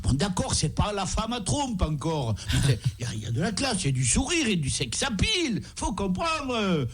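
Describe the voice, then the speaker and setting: High-voiced, rambling mock-pompous man. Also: wildly swooping pitch, normal speed, a bit bright, breathy edge. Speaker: comic columnist. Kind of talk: comedy radio chat.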